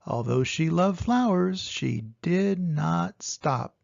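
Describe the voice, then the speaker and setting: An older man narrates a children's storybook with dramatic emphasis. His pitch is fairly high and very animated, and he reads at an unhurried pace. The recording is dry and a little dull. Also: creaky, dry recording. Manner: expressive, dramatic emphasis on sound words. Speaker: storyteller reading aloud to children.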